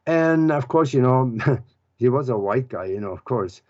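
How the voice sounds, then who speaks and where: A man from Norway. He explains spiritual ideas in an earnest, halting way, pausing often as he searches for words. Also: mid-range voice, lively intonation, a little mellow. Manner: unhurried, earnest, halting with pauses. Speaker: author and spiritual teacher. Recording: dull lo-fi recording.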